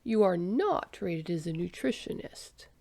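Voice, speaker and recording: A woman voicing a methodical male alien official who questions slowly and carefully. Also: lowish voice, expressive, gravelly, darkish tone, dry recording, exaggerated voice. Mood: probing. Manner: slow, careful, probing questions.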